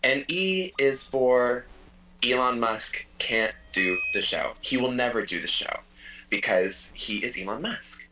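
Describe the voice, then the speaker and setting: A man bantering as a comic podcast guest in a dramatic, halting, campy way, on a noisy remote mic. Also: medium pitch, recorded from a distance.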